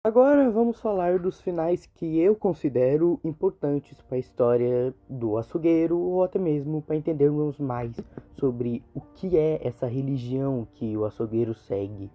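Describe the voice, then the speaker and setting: A man's voice: fairly high pitch, moderate pace, few pauses, faint music behind. A man with a Brazilian accent narrating a horror game explainer video in an informal, conversational way. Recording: muffled, narrow phone-like sound.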